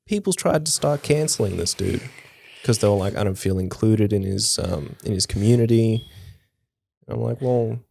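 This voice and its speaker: A man with a low, gravelly voice sharing anecdotes on a casual podcast, swearing in an offhand way. The recording is dry and close. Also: animated, moderate pace, dark tone, dry recording. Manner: laid-back storytelling, casual swearing. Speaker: podcast co-host. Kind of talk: casual chat.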